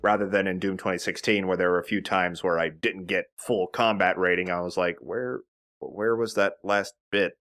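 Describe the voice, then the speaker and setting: A man's voice: low voice, steady tone, normal speed, a bit bright. A man giving casual, chatty commentary as he explains a video game. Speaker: let's play host.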